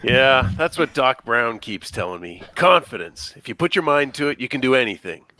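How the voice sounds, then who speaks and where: A man reading the part of an anxious, defensive teenage high-school rocker at a script table read. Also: very animated, normal speed, bright, forceful tone. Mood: self-doubting.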